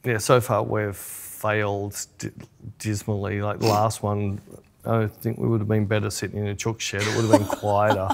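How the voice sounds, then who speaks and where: A man's voice: lowish voice, flat delivery, moderate pace, gravelly, a little hiss or hum. A man co-hosting a podcast in casual chat, enthusiastically explaining things and teasing his co-host.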